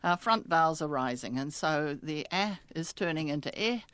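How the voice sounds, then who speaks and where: A woman's voice: sits low, expressive, moderate pace, fairly bright tone, clean tone, no echo. An older woman with a New Zealand accent, a retired linguistics professor talking chattily in a radio interview, laughing often and restarting words.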